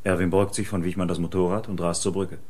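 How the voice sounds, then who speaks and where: A police investigator in a radio crime drama. The voice is low, with a brisk, explanatory, matter-of-fact delivery. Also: male voice, level tone, a little mellow. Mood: explaining.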